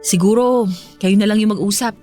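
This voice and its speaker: An actress playing a provoking older sister who feigns sweet apology, in a Filipino radio drama with a Filipino accent. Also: female voice, mid-range voice, steady tone, fairly quick, warm tone, faint music behind, lightly acted. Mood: falsely apologetic.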